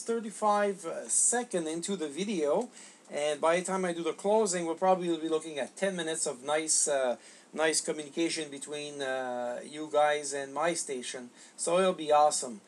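Higher-voiced middle-aged man, halting. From Canada. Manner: halting, repeats words. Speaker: amateur radio operator. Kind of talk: casual ham radio contact. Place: small home radio room, indoors.

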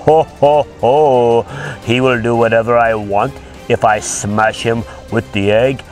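A man voicing a pompous scheming villain warlord who booms ho-ho laughs and speaks of himself in the third person. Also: fairly even intonation, a little slow, a little mellow, faint music behind, lightly acted. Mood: gloating. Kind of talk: toy play voice acting.

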